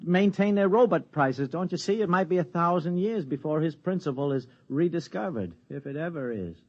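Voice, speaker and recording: A man's voice: fairly high pitch, animated, normal speed. An American man playing an anxious, stubborn boss in a 1950s radio drama, stammering and insistent. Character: exasperated, anxious but stubborn everyman boss. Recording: dull 1950s radio recording.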